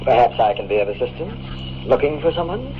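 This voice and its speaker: A very tinny, noisy vintage radio recording with a man acting as a coolly menacing expert. He talks formally and deliberately, swinging widely in pitch, with smooth control and veiled threat in old radio-drama style. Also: big pitch swings, lightly acted. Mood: polite, suspicious.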